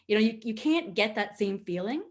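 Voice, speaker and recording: A woman with a Nova Scotian Canadian accent teaching an informal online class in an animated, candid, jokey and chatty way. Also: mid-range voice, a little fast. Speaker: social media instructor. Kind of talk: informal webinar teaching with casual asides.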